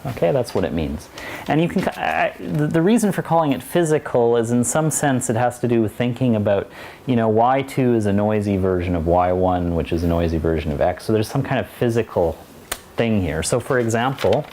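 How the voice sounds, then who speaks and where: Deep-voiced male university lecturer. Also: expressive, a little fast, warm tone, slight background noise. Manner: digressive, many restarts and asides. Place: lecture hall.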